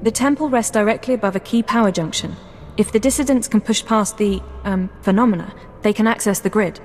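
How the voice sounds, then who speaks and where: A woman's voice: mid-range voice, a little fast, a little mellow, natural voice. Casting: woman to play earnest game analyst, medium register, careful and composed, faint music behind. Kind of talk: video game cutscene acting. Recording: reverberant hall, faint music underneath.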